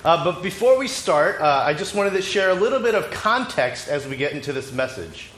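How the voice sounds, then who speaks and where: A man preaching a sermon in short phrases, pausing for an interpreter, while battling a cold. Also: a little high, sing-song, fairly bright tone, slight background noise. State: has a cold. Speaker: pastor. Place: church sanctuary. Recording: echoey church hall with faint ambience.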